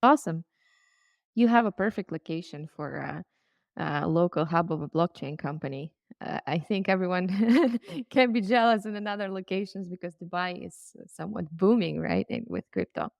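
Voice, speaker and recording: Low, gravelly-voiced woman hosting a podcast. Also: lively intonation, normal speed, no room sound. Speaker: podcast host.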